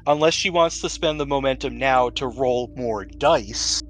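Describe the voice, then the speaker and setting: A man with a medium-pitched voice runs a tabletop game as its game master. He lays out rules and scenes in a measured, explanatory way, with faint music behind him. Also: a bit bright.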